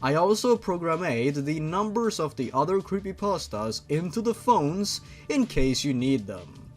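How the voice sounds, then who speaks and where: A man voicing a calm, formal, protective monster father in a story reading, with a measured manner. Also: a little high, faint music behind. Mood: calm, instructive.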